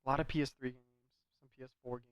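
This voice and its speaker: A man hosts a gaming video with loose, hesitant, off-the-cuff commentary. His mid-pitched voice stays fairly even as he rambles along casually. The home recording is dry and a little dull. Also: very fast, clean tone, no room sound. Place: quiet room at home.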